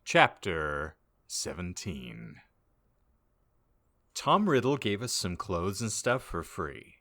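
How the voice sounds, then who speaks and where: Bright, slow-paced male comic narrator. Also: deep voice, very animated. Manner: reads garbled misspellings aloud as written. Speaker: podcast host reading as narrator. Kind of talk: comic dramatic narration of fan fiction.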